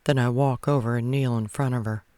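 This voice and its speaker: A man's voice: mid-range voice, steady tone, brisk, dark tone, no echo. A man narrating a first-person romance story as a read-aloud. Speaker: audiobook-style narrator.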